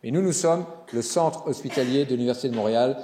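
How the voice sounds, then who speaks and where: A man giving a conference keynote as a hospital executive, animated and joking, with some fillers and restarts. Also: medium pitch, fast, a little hiss or hum. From Italian descent. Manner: animated speaker with jokes and fillers. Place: conference hall. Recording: roomy hall sound.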